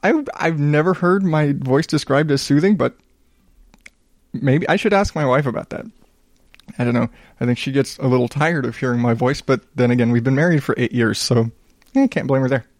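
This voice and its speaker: A man in his thirties hosting his own podcast, rambling casually in a calm, smooth voice and poking fun at himself. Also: medium pitch, normal speed, no echo, studio quality. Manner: rambling, self-deprecating, reads reviews aloud. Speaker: podcast host. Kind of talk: casual solo podcast monologue.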